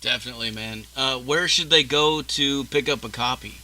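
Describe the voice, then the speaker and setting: A man's voice: medium pitch, normal speed, bright, forceful tone. A man in his early forties hosting a casual interview, easygoing and swearing freely as he chats. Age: 40s. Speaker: podcast host.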